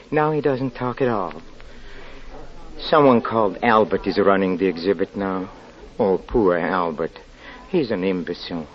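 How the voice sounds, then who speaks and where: Sly, unhinged mocker, lower register, vintage radio horror, dull sound. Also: male voice. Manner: sly, mocking, drawn-out. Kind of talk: 1940s radio acting.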